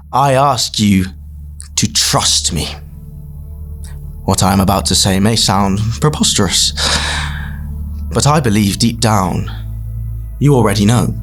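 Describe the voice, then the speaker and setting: A man playing a solemn, persuasive leader giving a grandiose, measured speech with dramatic pauses in an audio drama. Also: fairly low pitch, fairly bright tone, faint music behind. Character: solemn, persuasive male leader of a mysterious afterlife program.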